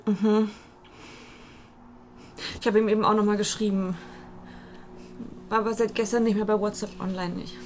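A woman's voice: mid-range voice, level tone, moderate pace, pauses often, a little mellow, slight background noise, close to an everyday voice. A woman playing a subdued, worried seventeen-year-old girl in a naturalistic radio drama, talking in casual youth slang.

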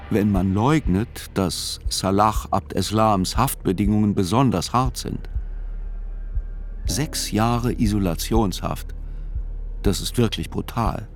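A man giving scripted first-person literary narration in a radio play, measured and reflective. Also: on the low side, soft background music.

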